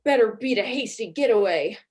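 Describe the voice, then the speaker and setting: A woman's voice: on the high side, expressive, a little fast, darkish tone. A woman playing a nonchalant murderer who muses aloud in a comedy radio play. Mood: mock-casual. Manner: chatty, mock-casual musing. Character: nonchalant murderer spotting a barking dog.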